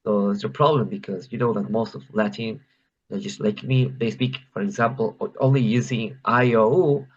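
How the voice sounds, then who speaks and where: Low-voiced Peruvian man, Spanish accent, lo-fi line. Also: steady tone, moderate pace. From Peru. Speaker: club member. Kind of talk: casual conversation practice.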